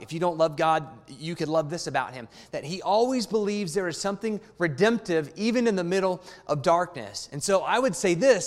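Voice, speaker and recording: A male pastor with a notably high voice preaches in a church whose long reverb trails after his words. He is emphatic and conversational, hammering key phrases through repetition and tossing quick asides to the congregation. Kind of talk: sermon. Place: church sanctuary.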